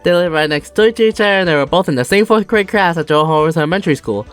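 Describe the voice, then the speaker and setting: A narrator reading a children's storybook aloud in an animated, theatrical way for a fan dub. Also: medium pitch, very fast, clear, resonant tone. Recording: music behind the voice.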